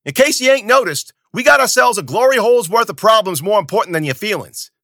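A man doing a loud, bullying camp boss. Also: high-pitched, animated, quick pace, dry recording, studio quality, voice pushed up. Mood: yelling angrily. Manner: yelling, profane, domineering.

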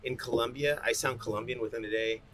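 A man's voice: mid-range voice, fairly even intonation, quick pace, a crowd in the background. A man talking casually as an interview guest, informal and rambling, full of slang. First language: bilingual English and fluent Spanish. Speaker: coffee roaster, interview guest. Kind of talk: casual conversational interview answers.

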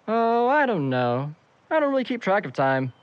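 A man's voice: sits high, very animated, normal speed, dull audio. A young man acting a cocky, evasive, sardonic character with a grudge in an audio drama. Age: young adult. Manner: sarcastic, flippant.

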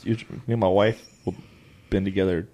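A man's voice: low voice, fairly even intonation, fairly quick, dark tone, a little hiss or hum. A man in his late thirties hosting a podcast in a studio, joking and teasing in casual chat. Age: 30s. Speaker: podcast host.

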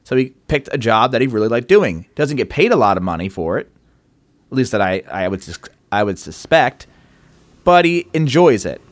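A man's voice: moderate pace, no echo. A man co-hosting a casual podcast, asking questions and pushing back with skeptical curiosity. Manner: questioning, skeptical, lively pitch swings. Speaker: podcast co-host.

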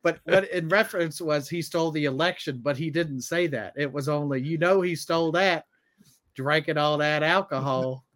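A man's voice: a little high, moderate pace, a bit bright. A man co-hosting a comedy podcast, chatting casually and telling anecdotes.

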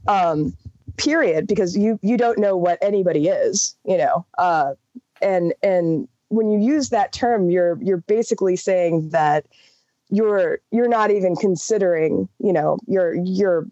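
A woman's voice: fairly low pitch, measured pace, mellow, no room sound. American woman from wisconsin, chatty podcast panelist, lots of um and you know, dry dull call sound. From Wisconsin, US upper Midwest. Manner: earnest, talks in loops with many fillers and restarts. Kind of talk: casual roundtable discussion. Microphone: call audio.

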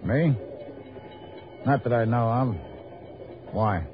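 An actor playing a surly, suspicious tough criminal who talks curtly and gruffly in an old radio drama. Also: male voice, mid-range voice, slow pace, close to an everyday voice. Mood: surly, guarded. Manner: curt, gruff talk. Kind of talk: 1950s-60s radio drama acting. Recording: noisy dull old radio recording.

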